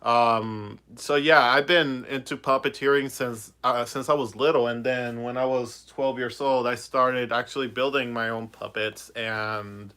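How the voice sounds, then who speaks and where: Chatty young man with a bright voice. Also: mid-range voice, fairly even intonation, measured pace, hardly any pauses. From Puerto Rico. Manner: chatty, rambling, many fillers. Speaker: puppeteer vlogger. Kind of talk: casual livestream chat. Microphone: phone on a ring light.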